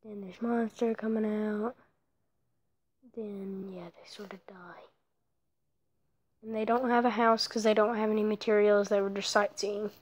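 A woman giving casual commentary over her own mobile block-building gameplay, rambling and often dropping into soft whispered asides, recorded on her phone. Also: medium pitch, steady tone, a little slow, long pauses. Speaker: let's play creator.

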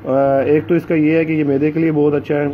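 A man's voice: on the high side, little pitch movement. Male gardening vlogger, hesitant and filler-heavy delivery, dull handheld phone audio with faint outdoor hum. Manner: hesitant, many filler sounds and pauses. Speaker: gardening channel host. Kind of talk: casual home gardening vlog. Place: outdoors among potted plants.

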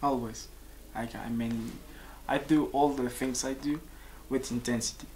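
Young peruvian man, pauses to find words, faint background hiss. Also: medium pitch, fairly even intonation, darkish tone. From Peru. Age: 20s. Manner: searching for words, halting restarts. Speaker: composer and musician. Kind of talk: casual interview answers. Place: small office with pictures on walls.

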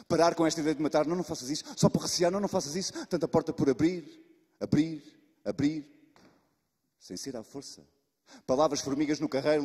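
Male slam poet, husky, dramatic, dynamic swings in volume, long hall reverb. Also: fairly high pitch. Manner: dramatic, with repeated words and sudden shifts from loud to hushed. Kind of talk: slam poetry performance. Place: live stage in a reverberant hall. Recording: reverberant hall, live stage.

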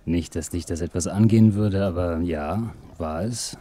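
A man's voice: sits low, moderate pace, dark tone, close to an everyday voice. A man playing a weary, terse private investigator with a dry manner in an audio drama.

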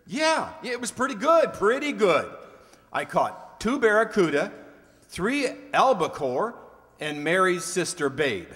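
A man's voice: high voice, very animated, measured pace, fairly bright tone, heavily acted. A man acting a breezy, wisecracking comic character in an old-time radio comedy read from a script. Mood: breezy, joking. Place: stage of a large hall. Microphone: stand microphone. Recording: echoey hall stage performance.

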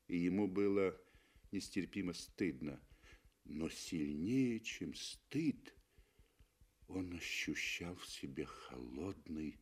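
A man reading literary prose as a narrator in 1960s Soviet radio style, measured and expressive with deliberate pauses. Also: low voice.

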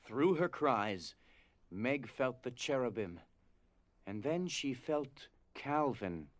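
A man reading dramatized storybook narration in a measured, solemn way. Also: mid-range voice, expressive, no echo. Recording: music behind.

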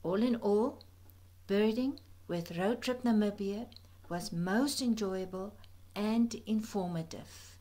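A woman reads a scripted voice-over at an unhurried, drawn-out pace. Her delivery is gentle and reflective, slipping now and then into soft, breathy near-whispers. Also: mid-range voice. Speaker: narrator of a birding slideshow. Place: voice-over recorded apart from the pictured outdoor scenes.

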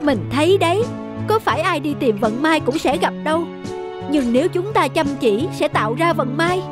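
A sensible young peasant wife in a cartoon folk tale. Her voice is high, her manner earnest and practical with a nagging edge, and music runs beneath her. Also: lively intonation, normal speed. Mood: calm, earnest. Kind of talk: animated folk tale acting.

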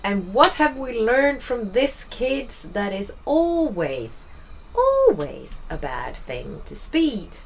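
A woman's voice: on the high side, big pitch swings, slow, a little hiss or hum, low-quality recording. A young woman with a Swedish accent, casually commenting while playing a game, chatty and rambling with wry asides. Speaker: let's play host.

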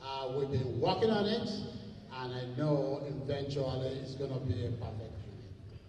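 An older man giving a solemn, drawn-out ceremonial speech on stage at a gala, holding a handheld mic. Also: mid-range voice, warm tone. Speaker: libation speaker at a gala. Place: large hall, on stage. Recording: very echoey hall, distant mic.

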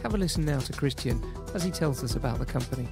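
A man reading a scripted introduction as a radio presenter, smooth and read aloud. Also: mid-range voice, expressive, fast. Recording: music bed behind the voice.

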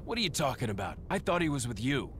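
An actor playing a cool, defiant young American action hero in a video game, with a terse, wry delivery. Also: male voice, mid-range voice, big pitch swings, fast talker, close to an everyday voice. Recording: game audio under loud background noise.

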